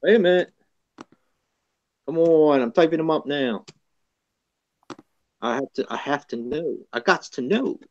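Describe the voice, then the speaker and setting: A man's voice: a little high, a little slow, pauses often, dull audio. A man in a casual group chat who is eager and pushes his friends for answers.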